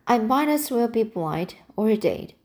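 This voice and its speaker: A woman's voice: on the high side, big pitch swings, measured pace, a little mellow. A woman reading as a weary, bitter older woman. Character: weary, bitter elderly woman with failing eyes.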